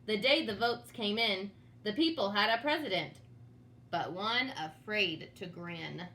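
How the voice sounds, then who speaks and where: A woman's voice: medium pitch, expressive, unhurried, bright, forceful tone, slight background noise, poor audio. A woman reading a storybook aloud for children in a dramatic, teacherly manner, with asides to young listeners. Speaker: storybook reader. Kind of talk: expressive read-aloud for children.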